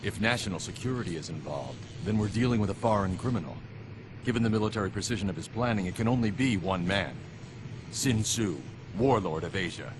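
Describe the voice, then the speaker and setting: A man playing a stern, terse masked vigilante hero in a video game, with loud music over him. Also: on the low side, expressive, brisk, background noise, lightly acted. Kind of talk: video game dramatic acting.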